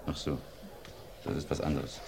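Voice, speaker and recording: A man's voice: a bass voice, level tone, normal speed, creaky, background noise, heavily acted. An actor playing a plain-spoken, fatherly villager in an old radio play. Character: homely middle-aged male innkeeper, fatherly.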